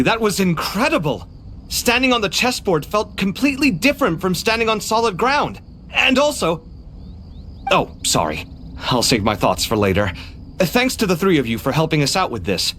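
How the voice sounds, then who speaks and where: Excitable, wildly animated young man. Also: fairly high pitch, slight background noise. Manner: animated, expressive, impulsive. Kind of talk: video game voice acting.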